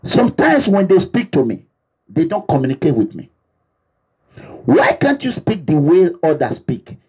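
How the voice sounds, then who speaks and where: A man's voice: fairly high pitch, huge pitch swings, normal speed, darkish tone, slightly rough. A Nigerian man, an activist leader, giving an impassioned, repetitive address to his supporters in a preaching manner. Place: abroad, away from home.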